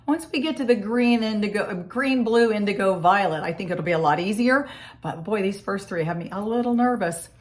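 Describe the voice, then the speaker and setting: A woman with a scratchy, hoarse voice from a cold, chatting casually through a makeup tutorial in her home office. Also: medium pitch, brisk. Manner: chatty, friendly step-by-step explaining. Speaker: makeup vlogger.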